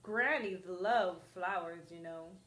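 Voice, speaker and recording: A woman voicing a sly, greedy male wolf from a storybook, falsely courteous and smooth-talking. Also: on the low side, takes it slowly, fairly bright tone, roomy sound. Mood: charming, sly. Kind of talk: storybook read-aloud character voice.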